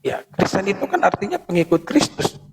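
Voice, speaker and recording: A man with a husky, creaky voice, arguing as a pastor in an informal debate with persistent questions. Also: high voice, sing-song, fairly quick, slight background noise. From Indonesia.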